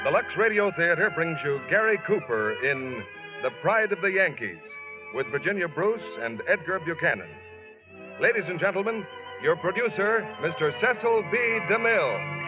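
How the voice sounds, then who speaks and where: A man's voice: high-pitched, expressive, moderate pace. A man announcing a 1940s radio show in a formal, emphatic scripted style. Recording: dull old radio recording, loud music.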